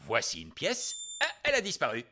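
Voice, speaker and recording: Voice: medium pitch, wildly swooping pitch, brisk, pushed and bright, full character voice. An actor playing a friendly dad in a children's cartoon, showing off magic tricks in a playful, showman-like way. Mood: playful, showy. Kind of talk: dubbed cartoon acting for children.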